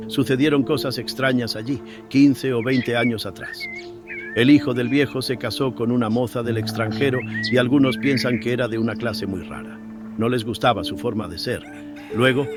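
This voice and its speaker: A man's voice: medium pitch, pitched above the performer's own voice, close to an everyday voice. A man voicing a kindly, gossipy middle-aged farmer with drawling rustic speech, in a horror audiobook. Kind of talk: dramatized horror audiobook dialogue.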